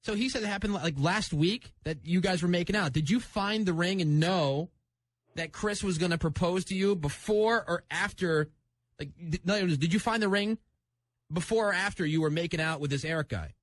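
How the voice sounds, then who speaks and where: A man's voice: high-pitched, a little fast. A man hosting a call-in radio show, talking in an informal, probing, teasing way. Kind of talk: casual live call-in radio banter.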